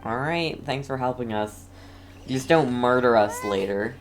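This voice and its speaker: Very low-voiced woman streaming a game. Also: unhurried, over music. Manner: informal, reactive, blunt. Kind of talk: casual live gameplay commentary.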